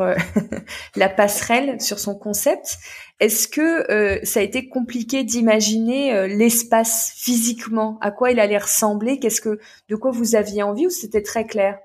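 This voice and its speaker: A woman's voice: medium pitch, darkish tone. A woman hosting a casual conversational interview, feeling her way through questions in a hesitant manner. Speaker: interviewer, host.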